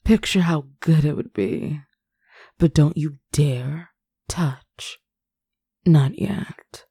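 A woman speaking an intimate roleplay in a teasing, commanding, sultry manner, close to the mic and often dropping into breathy whispers. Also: low-pitched, very slow, mellow, no room sound. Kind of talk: intimate adult roleplay audio.

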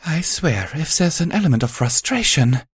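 A man voicing a gentle, caring young mare healer who speaks softly and soothingly. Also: fairly high pitch, sing-song, moderate pace, breathy edge, no room sound, clean studio sound, voice pushed up, exaggerated voice. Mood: bitter.

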